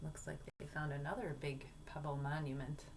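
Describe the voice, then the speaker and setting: Woman, teacher, slightly low pitch, expressive children's read-aloud, simple explanations, light background noise. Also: normal speed.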